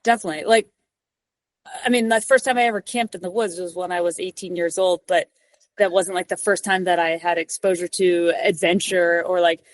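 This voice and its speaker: A young woman with a lowish voice talks through her answers thoughtfully, looping back with "like" and small restarts as she searches for words. The sound is close and dry, with almost no room around it. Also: dry recording. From New York City. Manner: thoughtful, many "like" fillers and restarts. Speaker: podcast guest, mountain guide. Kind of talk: reflective interview answers. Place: office cubicle.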